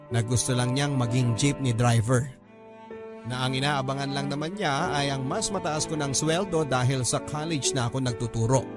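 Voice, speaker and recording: A man with a Filipino accent reading a scripted first-person story as a radio drama narrator. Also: mid-range voice. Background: music.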